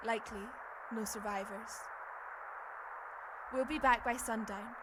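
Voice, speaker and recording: A commanding woman expedition leader, acted by a woman gives firm orders in a radio play. Her voice is somewhat high and authoritative. There is clear noise behind her. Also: steady tone, unhurried, lightly acted. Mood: grim resolve. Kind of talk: radio drama acting.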